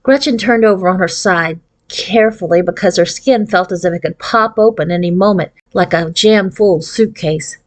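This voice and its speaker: A woman reading a children's storybook aloud in an expressive, narrating manner. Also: fairly low pitch, normal speed, few pauses. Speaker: audiobook reader.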